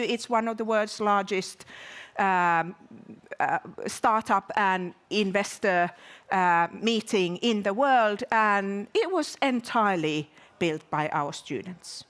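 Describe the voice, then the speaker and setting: A woman with a medium-pitched voice gives a welcome address as a university provost. She speaks to a live audience at an event, often hesitating and repeating a word before going on. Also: lively intonation, slow pace, a bit bright.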